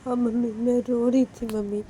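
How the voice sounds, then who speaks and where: Tearful young woman, pleading, higher than the narrator, woman doing voice, faint hiss behind. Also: little pitch movement, moderate pace, warm tone, close to an everyday voice, low-quality recording.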